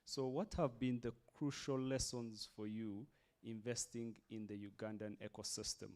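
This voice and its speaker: A man's voice: mid-range voice, steady tone, normal speed, darkish tone. A man moderating a workshop panel, thanking the speakers and putting questions to them with a few fillers.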